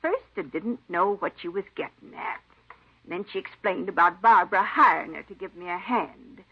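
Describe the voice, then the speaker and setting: An actress playing a folksy, forgetful, kindly elderly aunt who rambles in an old radio drama. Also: female voice, on the low side, normal speed, slightly rough. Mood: rambling, confiding. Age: older. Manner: rambling, folksy rural phrasing. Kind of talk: 1950s radio drama acting. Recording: dull old radio recording.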